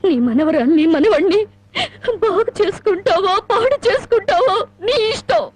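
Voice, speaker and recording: A woman's voice: very high-pitched, lively intonation, moderate pace, a little mellow. An actress playing a young wife who bitterly reproaches her gambling husband, sarcastic and tearful, in a film melodrama. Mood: grieving. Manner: sarcastic, reproachful, breaks into tears.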